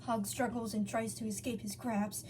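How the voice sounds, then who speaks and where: A woman's voice: fairly high pitch, flat delivery, moderate pace, a little mellow, a little hiss or hum. A woman reading fan fiction aloud as a narrator, sometimes stumbling over words and correcting herself. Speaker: story reader.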